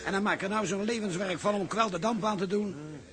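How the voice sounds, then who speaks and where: Actor as a high-pitched, chummy sailor. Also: male voice, fairly quick, natural voice. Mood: lively, chummy. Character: chummy, raised-voice seaman in a tavern. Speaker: actor in a radio drama. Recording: crowded tavern scene behind.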